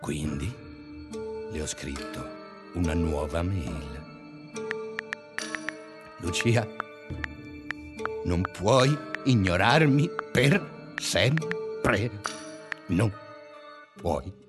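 An obsessive stalker in a radio drama, with a very low voice over clear music. He confesses in a brooding, intimate way, bitter and pleading beneath it. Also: animated, drawn-out words, pauses often, heavily acted. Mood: agitated, pleading. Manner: brooding, intimate narration with agitated surges. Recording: music under the voice.

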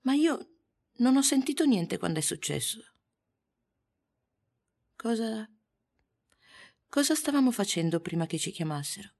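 Slow-speaking, tender grieving mother. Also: on the high side, very animated, vocal fry, full character voice. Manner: halting, intimate, gentle. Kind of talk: dramatic radio drama monologue.

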